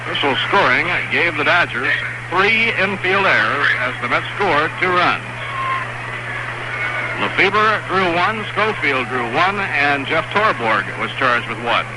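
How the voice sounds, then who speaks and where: A man giving play-by-play baseball commentary from a stadium booth, with a measured, explanatory delivery. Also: fairly high pitch, lively intonation. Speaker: play-by-play announcer. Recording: old radio broadcast with loud noise.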